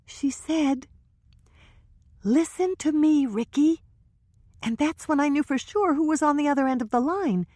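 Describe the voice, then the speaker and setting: A woman's voice: high voice, unhurried, pauses often, warm tone, no room sound, studio quality, pitched above the performer's own voice. A woman voicing a nervous, gossipy young woman who talks in a hesitant, breathless way.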